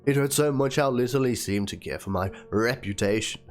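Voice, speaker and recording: A man's voice: mid-range voice, a little fast, natural voice. A man doing a dramatic reading as a wounded young woman, plaintive and theatrical, spelling out chat slang. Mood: hurt, pleading. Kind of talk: dramatic reading of a written document and chat logs. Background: guitar music.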